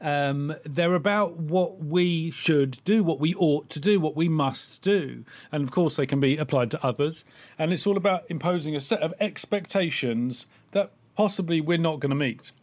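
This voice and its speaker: A man co-hosting a podcast who explains psychology ideas in a measured way, with frequent ums. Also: fairly high pitch. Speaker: podcast co-host. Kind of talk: casual explanatory chat.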